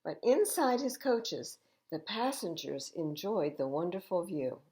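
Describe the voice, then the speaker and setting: An older woman reading children's storybooks aloud as a storytime host, expressive and emphatic, with chatty asides to young listeners. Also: fairly low pitch, measured pace, noisy background. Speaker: library storytime reader.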